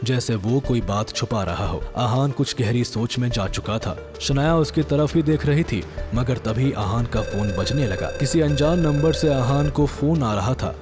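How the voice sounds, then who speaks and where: A man's voice: mid-range voice, animated, a little slow. A man narrating a scripted audio drama in a storytelling style. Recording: music behind the voice.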